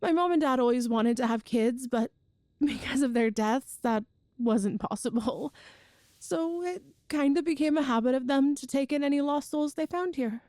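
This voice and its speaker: A woman's voice: high voice, normal speed, dry recording, studio quality, natural voice. A woman playing an affectionate, playful girlfriend from a ghost family in an ASMR audio roleplay, chatty and tender. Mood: warm, amused. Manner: chatty and tender, with soft laughs and sighs.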